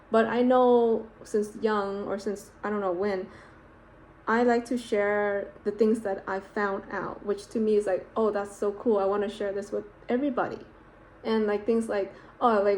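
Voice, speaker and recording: A woman's voice: medium pitch, darkish tone, a little hiss or hum, rough recording. Reflective woman rambling in a casual vlog. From United States, California. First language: Vietnamese. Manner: rambling, self-reflective, many fillers and restarts. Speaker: vlogger. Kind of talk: casual talking-head vlog.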